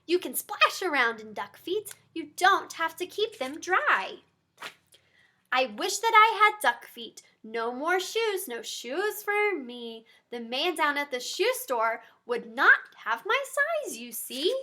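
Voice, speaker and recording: A woman's voice: high voice, slow pace. A woman, a pediatric therapist, reading a picture book aloud to young children and talking directly to them. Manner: animated, talks directly to young listeners.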